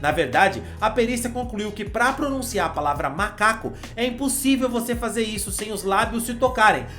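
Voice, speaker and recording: A man's voice: high-pitched, lively intonation, fairly quick, a bit bright, faint music behind. A man with a Brazilian accent hosting a football channel, giving his opinion in a casual, conversational way and addressing the viewer with slang.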